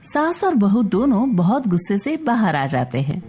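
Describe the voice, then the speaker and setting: A woman narrating a scripted children's-style story in an expressive, deliberate way. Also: mid-range voice, slightly rough, soft background music.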